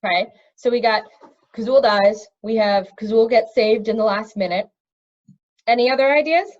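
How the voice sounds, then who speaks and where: A woman reading a children's fantasy novel aloud in an expressive storytelling style. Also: a little high, normal speed, lo-fi sound. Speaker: storybook reader. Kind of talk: expressive read-aloud of a children's fantasy novel, pausing to question young listeners.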